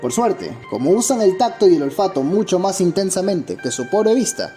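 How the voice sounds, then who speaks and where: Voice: medium pitch, very animated, moderate pace, mellow. A narrator giving a scripted documentary-style account with a dramatic, opinionated storytelling manner. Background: background music.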